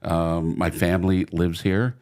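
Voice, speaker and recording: A man's voice: deep voice, little pitch movement, unhurried, a little mellow, smooth. A man in his sixties from Oregon, a longtime radio broadcaster, talking casually as a guest, self-deprecating, laughing easily and repeating words as he goes. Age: 60s. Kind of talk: casual interview talk.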